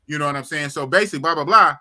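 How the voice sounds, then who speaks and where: A man in his fifties hosting a live talk stream, chatting casually and shouting out viewers by name. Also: on the high side, very fast. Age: 50s. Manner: animated, shouts out viewers, repeats himself. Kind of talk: casual livestream chat.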